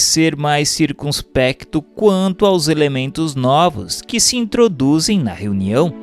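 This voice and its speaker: Slow, expressive Brazilian male narrator. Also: on the high side. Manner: measured, formal, didactic. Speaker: audiobook narrator reading a Spiritist book. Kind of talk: scripted narration, formal book reading.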